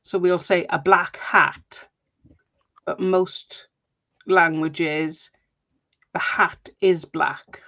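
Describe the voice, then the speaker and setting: A Welsh woman chatting casually while she crafts, friendly and quick to laugh. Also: on the low side, fairly even intonation, sharp tone, muffled recording. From Wales.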